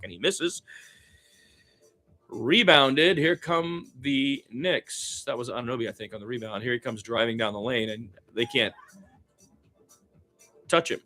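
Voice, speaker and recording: A man's voice: mid-range voice, a bit bright. A man doing amateur radio-style basketball play-by-play, conversational, with his own opinions mixed in.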